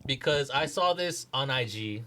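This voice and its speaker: A man's voice: mid-range voice, animated, a little slow, pushed and bright. Slangy man chatting over background noise. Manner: slangy, profane. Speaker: podcast host. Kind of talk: casual chat.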